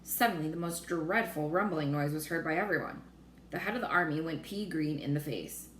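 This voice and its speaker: A woman reading a children's story aloud in an expressive narrating style. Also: low-pitched, a little fast. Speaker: storybook reader. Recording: roomy echo with faint noise.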